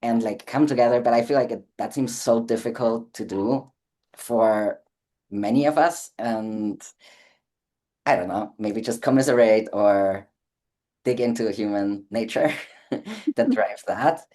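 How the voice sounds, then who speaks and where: An eager host from Austria leads a casual chat and asks long, winding questions. The voice has a dark, mellow tone. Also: mid-range voice, measured pace. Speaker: podcast host.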